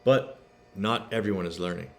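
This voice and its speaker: A man's voice: sits low, a bit bright, slight background noise. A man reading the part of an earnest, enthusiastic male school principal in a children's storybook, with emphatic delivery. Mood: earnest, insistent. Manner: emphatic, proud, drawn-out praise.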